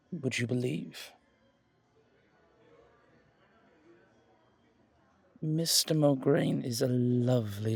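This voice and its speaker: A man's voice: mid-range voice, gravelly, a little mellow, husky, dry recording, full character voice. A man playing a charming, flirtatious male museum guide in an audio roleplay, chatting intimately with genteel, hesitant asides. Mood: fond, chatty. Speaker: character performer in an audio roleplay. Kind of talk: intimate ASMR roleplay monologue, conversational.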